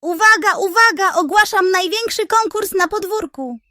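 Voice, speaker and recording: A sly male pug from a children's storybook, acted out by a woman, full of himself and excitable. The voice is pitched high and declaims boastfully, recorded close and dry. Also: moderate pace, dry recording. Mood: grandly announcing. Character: sly, self-satisfied male pug with scheming plans. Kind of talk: children's audiobook character voice.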